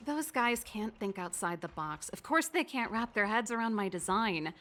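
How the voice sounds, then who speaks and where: An actress playing a confident, playful older sister and inventor in a video game, brisk, teasing and expressive. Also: female voice, mid-range voice, close to an everyday voice. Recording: music behind the voice.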